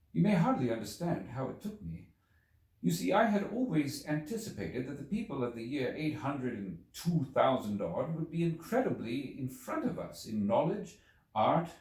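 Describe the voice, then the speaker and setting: A man reading a classic novel aloud as scripted literary narration, with deliberate, dramatic phrasing. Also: mid-range voice, a little mellow. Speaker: audiobook reader. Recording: roomy, echoing voice recording.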